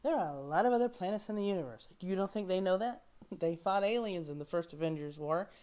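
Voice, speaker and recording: A woman's voice: fairly low pitch, lively intonation, fairly quick, a little mellow, slight background noise. A woman hosting a reaction show, giving casual, opinionated and critical commentary on a film clip, stressing key words.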